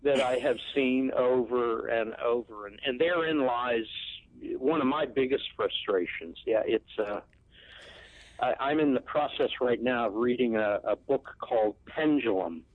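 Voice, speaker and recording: A man's voice: medium pitch, a little slow, dry recording, narrow, tinny audio. A man hosting a radio talk show, speaking in a thoughtful, reflective way. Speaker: radio host.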